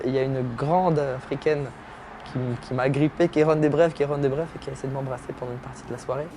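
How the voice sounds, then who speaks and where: A man's voice: medium pitch, a little mellow. Playful joking man, noisy background. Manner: teasing, self-mocking, playful; talks while lying down. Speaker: comedian guest. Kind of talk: joking interview chat.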